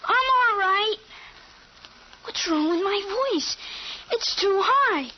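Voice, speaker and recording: A puzzled young boy in an old radio play. The voice is very high and slow, hesitant and full of wonder, over a noisy recording. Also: animated, dull audio, heavily acted. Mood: bewildered, dazed. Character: bewildered thirteen-year-old boy with an adult's mind. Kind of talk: 1950s radio drama acting.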